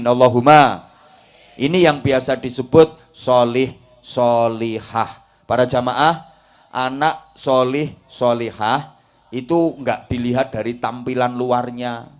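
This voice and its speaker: Javanese-accented man preaching with folksy humour. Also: medium pitch. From Java, Indonesia. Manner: jokey, folksy, rhetorical, checks listeners understand. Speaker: Islamic preacher giving a talk to a congregation. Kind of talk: humorous sermon, mixing Indonesian and Javanese.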